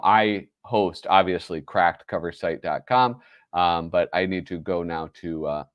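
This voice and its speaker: A man with a deep, low-pitched and noticeably nasal voice, talking casually as the host of a livestream. He rambles, restarts his sentences and fills gaps with ums and uhs. The sound is dry and close, with almost no room around it. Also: no room sound. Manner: rambling, self-correcting, full of fillers.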